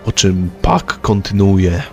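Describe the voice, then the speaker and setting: A man's voice: low voice, expressive, slow, darkish tone, soft background music. A man narrating a scripted story recap.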